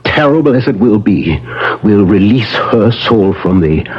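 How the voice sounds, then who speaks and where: An actor playing a solemn, insistent professor who hunts vampires, speaking huskily with portentous pauses in 1970s radio drama style, on a muffled broadcast. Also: male voice, fairly low pitch, lively intonation, slight background noise. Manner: deliberate, portentous, emphatic repetitions and dramatic pauses.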